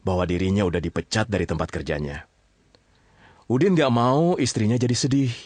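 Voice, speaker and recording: A man's voice: lowish voice, brisk, darkish tone, dry recording. A man with an Indonesian accent telling a story informally, often checking in with his listeners. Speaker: storyteller narrator addressing listeners.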